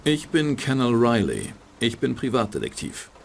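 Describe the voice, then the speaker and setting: Gravelly, sardonic private eye. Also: male voice, huge pitch swings, normal speed, slight background noise. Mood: guarded, probing. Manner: dry, sardonic, low-key delivery. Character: hard-boiled male private detective, wry and cynical. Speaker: narrating game protagonist. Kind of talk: dubbed game voice acting.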